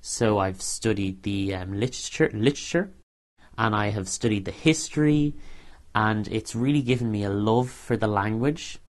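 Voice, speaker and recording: A young man speaks in a fairly low voice with a Dublin Irish accent. His unscripted, personal talk is earnest, and he often stops and repeats himself while finding the next phrase. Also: moderate pace. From Ireland. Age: young adult. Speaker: interviewee, university student.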